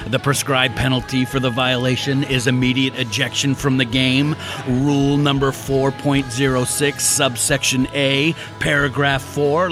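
A man putting on a stern baseball umpire who slowly reads out the rules in a formal, officious way. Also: medium pitch, voice pushed up, natural voice. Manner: slow formal rule-reading, ending in a shout.

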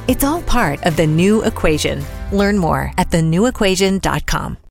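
A woman's voice: lowish voice, lively intonation, normal speed, gravelly, faint music behind. A woman reading a scripted corporate advertisement as an announcer.

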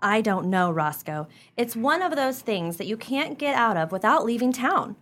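A woman's voice: fairly high pitch, big pitch swings, normal speed, fairly bright tone. A woman playing a gossipy, drily witty small-town wife in a comedic radio play, speaking in a precise, theatrical way. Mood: dry, resigned.

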